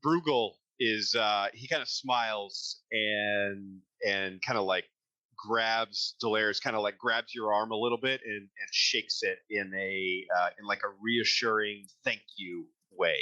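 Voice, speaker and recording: Bright-voiced man running a tabletop game. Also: fairly low pitch, fairly even intonation, measured pace, no room sound, lo-fi sound. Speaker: game master. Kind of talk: casual improvised tabletop game narration.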